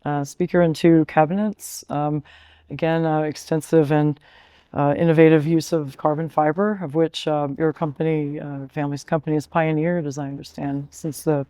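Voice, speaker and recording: Halting male interviewer, dry close sound. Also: a little high, level tone, dark tone, smooth, dry recording. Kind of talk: casual product interview.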